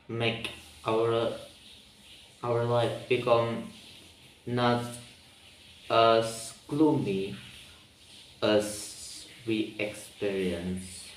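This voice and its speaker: A man with an Indonesian accent, speaking English as a second language, talking slowly and haltingly, searching for words with many pauses and ums. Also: mid-range voice, a little hiss or hum. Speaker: vlogger practicing spoken English. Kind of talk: reflective solo monologue. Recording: roomy echo, mic at a distance.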